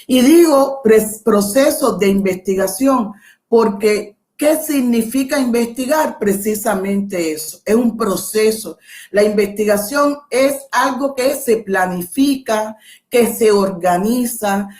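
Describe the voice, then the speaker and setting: A middle-aged woman from Cuba with a Cuban Spanish accent, giving an academic webinar lecture in a measured, explanatory way, with pauses and self-corrections. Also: fairly high pitch, lively intonation, a little mellow. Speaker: guest lecturer.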